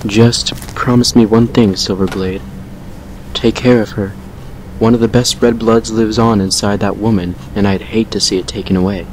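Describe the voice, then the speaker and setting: A man's voice: lowish voice, fairly even intonation, moderate pace, a little mellow, a little hiss or hum, natural voice, poor audio. A man playing a stern, protective vampire in an amateur audio drama, firm and grave. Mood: stern warning.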